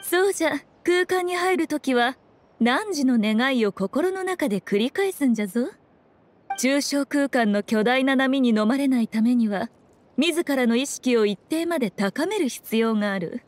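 A woman's voice: high voice, animated, a little slow, a little hiss or hum. A woman playing a sly, regal fox shrine priestess in an anime game, speaking in an archaic, haughty and composed way. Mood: solemn, composed. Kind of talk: anime video game acting. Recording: music behind the voice.

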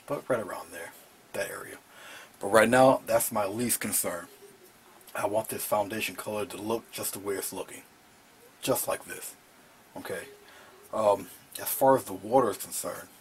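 Low-voiced man murmuring through a painting lesson. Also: a little hiss or hum. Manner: relaxed, talks while he paints, drops to low murmurs over the brush work. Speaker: painting instructor. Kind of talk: casual painting demonstration. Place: small home studio, indoors.

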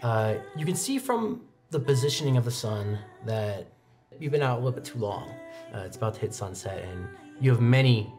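A young man improvising descriptive tabletop roleplay narration as game master, halting now and then to find his words. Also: medium pitch, expressive, darkish tone. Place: indoor studio set, seated at a desk. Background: music.

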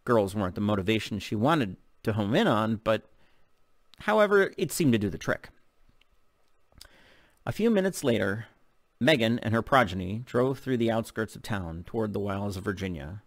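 Male narrator, middle-aged, lower-pitched, reading fiction into a close mic, very dry. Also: sing-song, normal speed, no echo. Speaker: author reading a novel aloud. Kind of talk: audiobook-style story narration. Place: open living room at home. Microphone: desk microphone close in front.

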